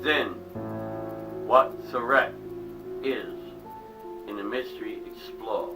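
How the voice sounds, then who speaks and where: A man's voice: mid-range voice, steady tone, slow pace. Man reading a poem theatrically over eerie music. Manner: dramatic, hushed drops in volume, stumbles and restarts. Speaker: reader of a poem. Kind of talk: theatrical dramatic poetry reading. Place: small home museum room. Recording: distant mic with eerie music behind.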